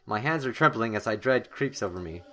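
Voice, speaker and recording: Bright-voiced excitable young man reading horror aloud. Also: fairly low pitch, brisk, slight background noise. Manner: excitable, dramatic, stumbles while reading aloud. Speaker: gaming video host. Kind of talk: horror game playthrough, reading story text aloud with reactions.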